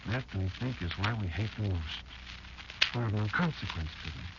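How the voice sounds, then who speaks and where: Man playing a deep, grave insect elder. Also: expressive. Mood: grave, bitter. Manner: slow, deliberate, portentous storytelling with dramatic pauses. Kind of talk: old-time radio drama monologue. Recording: muffled, noisy old radio show recording.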